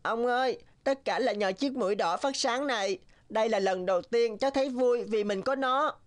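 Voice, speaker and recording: A woman's voice: a little high, moderate pace, natural voice. A woman voicing a young male reindeer with a glowing red nose, earnest and polite. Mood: grateful. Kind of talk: cartoon voice acting.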